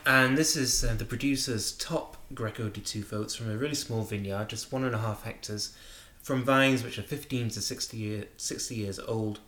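A man co-hosting a wine news show, explaining wines and trends in a measured, enthusiastic way. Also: mid-range voice, bright, forceful tone, echoey room. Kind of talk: conversational wine news discussion.

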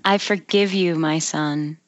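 A woman's voice: sits low, fairly even intonation, takes it slowly, a little hiss or hum. A woman playing a solemn ghostly mother in an amateur horror script table read over a lo-fi internet call.

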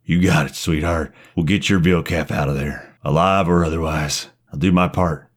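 A man voicing a cocky, flirtatious bounty hunter with a smooth, swaggering way of talking. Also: a bass voice, steady tone, normal speed, voice pushed down. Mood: businesslike.

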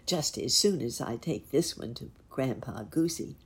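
A woman as muskrat lady, storybook housekeeper, obliging, measured, husky, slow. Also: rumbling low, lively intonation, full character voice. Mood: grateful. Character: obliging female muskrat housekeeper, Nurse Jane. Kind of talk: children's storybook character voice.

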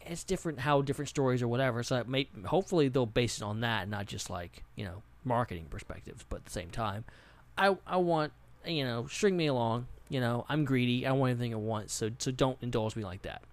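A man's voice: medium pitch, expressive, fairly quick. A man co-hosting a podcast in loose, casual chat, rambling and often saying "like". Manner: rambling, filler-heavy with frequent "like". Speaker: podcast co-host. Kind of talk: casual pop-culture discussion.

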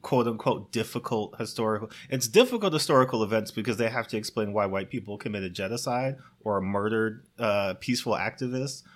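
A man's voice: on the low side, a little fast, hardly any pauses. A man casually discussing a film, with exasperated emphasis and some swearing, often amused as he talks. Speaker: podcast co-host.

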